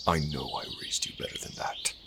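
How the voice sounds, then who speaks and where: A man playing a stern, domineering older king and contemptuous father, gravelly and imperious, in a fantasy audio roleplay. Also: lightly acted. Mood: sarcastic, cold. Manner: imperious, deliberate scolding. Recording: noisy background with birdsong.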